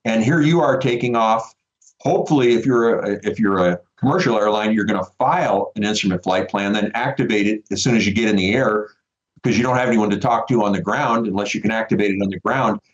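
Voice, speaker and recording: A man's voice: lowish voice, a little fast, dull audio. A man speaking as a veteran pilot guest, explaining things conversationally over a remote connection.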